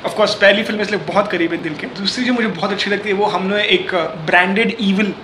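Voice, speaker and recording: A man's voice: sits high, steady tone, a little fast. A young man with an Indian accent answering interview questions conversationally, explaining things and slipping in English phrases. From Mumbai, India. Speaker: interview guest, filmmaker. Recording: echoey room with faint noise.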